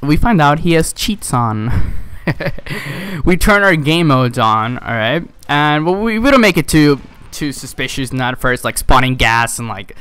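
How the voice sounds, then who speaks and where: A man's voice: medium pitch, animated, a little slow, fairly bright tone, smooth, no room sound. A young man telling a casual story with exasperated, sarcastic, slangy and sometimes profane delivery, acting out dialogue as he goes. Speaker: video creator telling a story.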